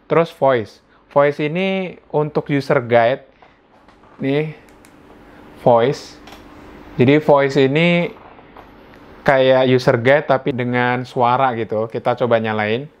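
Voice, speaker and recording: A man's voice: medium pitch, takes it slowly, pauses often, clean tone. An Indonesian man giving a casual hands-on gadget review indoors at home, talking informally as if thinking aloud. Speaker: product reviewer. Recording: dull lo-fi sound.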